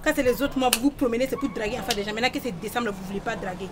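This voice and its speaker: A woman acting in a comedy sketch, loud and scolding. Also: fairly high pitch, racing, crowd noise. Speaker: comic actress. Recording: noticeable background hiss.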